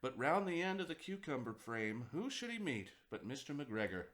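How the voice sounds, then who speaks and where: A man reads a children's story in a middle-pitched voice. His delivery is very animated, with lots of melodic movement, as a storyteller performing for kids. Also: normal speed. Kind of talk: expressive children's storybook narration.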